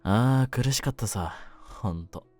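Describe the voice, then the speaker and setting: A man playing a world-weary, lonely young mafioso in an intimate roleplay audio, speaking in a low voice with rough streetwise phrasing. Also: a little slow, dry recording, soft background music, lightly acted. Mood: melancholy, confessional. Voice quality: low-pitched voice. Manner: rough, streetwise masculine speech, brooding and soft-spoken. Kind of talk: intimate Japanese situation-voice roleplay, ASMR-like.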